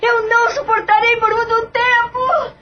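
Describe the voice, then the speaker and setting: Distraught, crying wife in an audio drama, voiced by an actress; very high voice, muffled recording. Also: female voice, little pitch movement, a little slow. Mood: anguished. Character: tormented wife in spiritual anguish. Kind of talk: radio drama acting. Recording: dull lo-fi audio.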